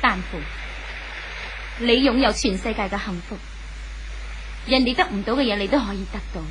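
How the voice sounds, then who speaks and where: A woman's voice: mid-range voice, moderate pace, lightly acted. A woman playing a jealous, despairing spurned wife in a 1970s radio drama, impassioned and brittle, with a Hong Kong Cantonese accent. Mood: wounded, jealous. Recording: faint hiss on old radio recording.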